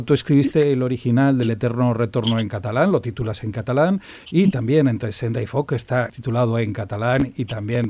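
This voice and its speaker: A man interviewing an author, asking long, carefully built questions with pauses like "digamos". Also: medium pitch, dark tone, no room sound.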